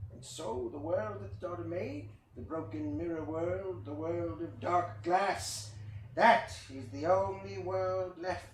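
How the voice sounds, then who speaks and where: A man playing a theatrical fable-teller with a high voice and wry conversational asides. He is picked up from a distance in an echoey room, as in a staged play reading, with faint noise. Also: a bit bright. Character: lively puppet-show storyteller spinning a mock-epic creation fable.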